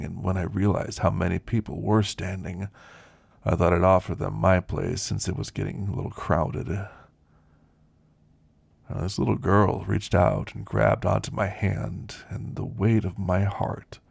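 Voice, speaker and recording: A man playing an elderly, ailing werewolf in an ASMR roleplay, gentle and reflective, reminiscing in a soft, deliberate, gravelly voice. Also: low voice, dry recording, heavily acted. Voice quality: gravelly, husky.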